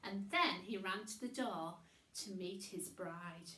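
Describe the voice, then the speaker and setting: A woman reading a children's bedtime story in a theatrical way, with dramatic pauses. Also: mid-range voice, bright, forceful tone, reverberant, rough recording.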